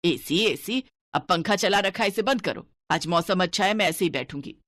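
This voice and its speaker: A woman's voice: lowish voice, lively intonation, moderate pace, no room sound, studio quality. A woman voicing a stingy, scolding older mother-in-law in a cartoon story. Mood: grumbling. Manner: bossy, penny-pinching, sharp-tongued.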